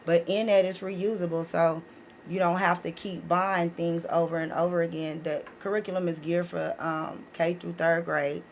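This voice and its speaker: A woman's voice: lowish voice, flat delivery, normal speed. American woman, emphatic, over background noise. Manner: emphatic, punctuates points with check-in questions. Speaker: podcast guest presenting her educational book series. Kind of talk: casual conversational explanation. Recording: clearly noisy live podcast recording.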